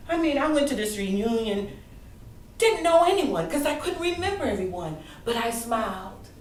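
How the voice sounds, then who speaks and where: A woman performing a theatrical monologue as a grieving grown daughter, wounded and yearning, telling her story emotionally with deliberate dramatic pauses. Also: fairly high pitch, very animated. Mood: holding back hurt. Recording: echoey room, distant mic, faint noise.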